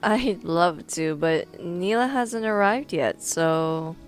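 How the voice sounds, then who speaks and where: A woman voicing a friendly young café waitress who speaks gently and politely. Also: on the low side, lively intonation, slow pace, faint music behind.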